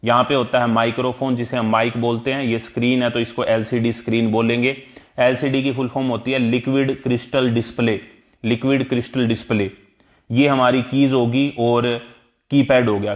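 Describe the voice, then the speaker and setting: A man with an Indian accent giving an explanatory exam-prep lesson as an instructor, repeating key terms in a didactic way. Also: medium pitch. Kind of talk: explanatory exam-prep tutorial, mixing Hindi and English.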